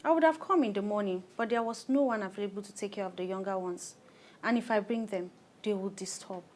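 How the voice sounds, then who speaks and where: An actress plays a Nigerian mother of about thirty in a radio drama. She is harried yet firm, a woman speaking frankly and insistently in an earnest, mid-pitched voice. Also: a little fast, darkish tone, close to an everyday voice. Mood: weary, polite. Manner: earnest, plain-spoken, insistent. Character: harried, determined thirty-year-old mother of five daughters, firm and frank. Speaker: clinic client in an educational radio play.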